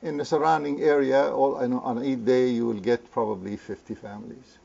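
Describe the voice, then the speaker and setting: An older man from Lebanon, a guest in an interview, talking hesitantly with many fillers and pauses. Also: mid-range voice, steady tone, creaky, a little mellow. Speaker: interview guest, community founder. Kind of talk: conversational interview. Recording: muffled lo-fi recording.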